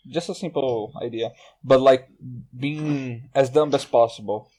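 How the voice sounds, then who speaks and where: A man hosting a casual group podcast chat, speaking haltingly and repeating his words. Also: mid-range voice, warm tone, rough recording. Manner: halting, repeats words, often breaks into laughter. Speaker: podcast host. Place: remote group voice call.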